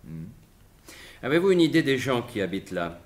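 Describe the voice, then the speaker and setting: Sly gentleman sleuth, mid voice, theatrical and sure of himself, old french radio play. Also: medium pitch, big pitch swings, moderate pace. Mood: pondering, excited. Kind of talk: 1950s-style French radio mystery acting.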